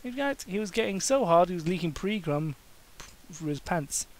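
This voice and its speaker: A man's voice: sits high, expressive, moderate pace, poor audio. A man reading a story aloud as an amateur, in a comedic dramatic style, stumbling over words and breaking off for asides. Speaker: amateur fan fiction reader.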